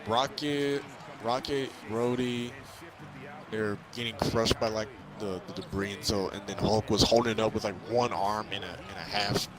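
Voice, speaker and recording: A young man casually recapping a movie in an excitable, rambling, hesitant way. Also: lowish voice, moderate pace. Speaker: vlogger reviewing a film. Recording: crowd chatter, bouncing basketball sounds behind.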